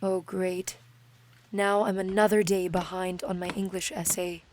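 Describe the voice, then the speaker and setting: A woman's voice: lowish voice, level tone, unhurried, a little mellow, slight background noise, close to an everyday voice. A woman playing a discouraged, anxious teenage schoolgirl in an audio drama, in a subdued, natural manner. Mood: frustrated.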